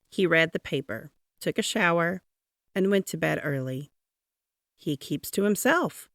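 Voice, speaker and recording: A woman's voice: deep voice, gravelly, no echo, studio quality. A woman storyteller narrating a children's picture book expressively, drawing out key words for dramatic emphasis. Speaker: read-aloud storyteller.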